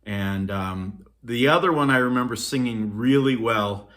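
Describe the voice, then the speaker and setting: A man's voice: mid-range voice, unhurried, a bit bright. A middle-aged man from Norway telling childhood memories in a rambling vlog, talking into a phone on a selfie stick, with many ums and restarts. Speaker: vlogger. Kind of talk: casual storytelling vlog.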